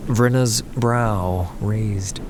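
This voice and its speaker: A man's voice: lowish voice, very slow, background noise. A man narrating a fantasy story in a dramatic, scripted audiobook style. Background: music.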